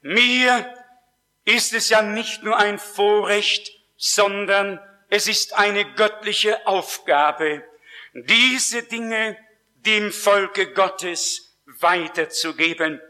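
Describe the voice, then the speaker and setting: Slow, bright-voiced preacher. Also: male voice, high voice. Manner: slow, emphatic preaching with rising stresses. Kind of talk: sermon with personal testimony.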